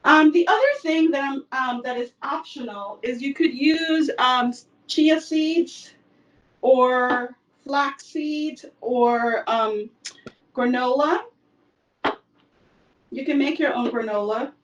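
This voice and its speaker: A woman's voice: sits high, slow, far from the mic, lo-fi sound. A woman from El Salvador giving a casual cooking demonstration in her home kitchen, chatty with frequent fillers. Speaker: cooking instructor.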